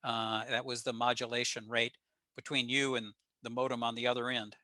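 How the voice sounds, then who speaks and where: An older American man presents technical material informally. His voice is bright and forward, with a plain-spoken, explanatory manner. The sound is close and dry, with very little room in it. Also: mid-range voice, little pitch movement, normal speed, dry recording. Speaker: guest presenter at a radio club. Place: remote video call.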